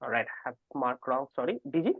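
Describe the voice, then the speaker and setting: A man's voice: medium pitch, sing-song, brisk, no echo. A man hosting a quiz over a voice call, reading out long questions and adding informal asides as he moderates the contestants. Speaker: quiz host. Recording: narrow phone-like online call audio.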